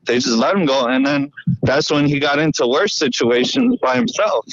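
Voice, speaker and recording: A man on a phone call, recounting what he saw in a halting, repetitive, slangy way. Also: fairly high pitch, animated, slight background noise. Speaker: eyewitness phone caller. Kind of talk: casual recounting.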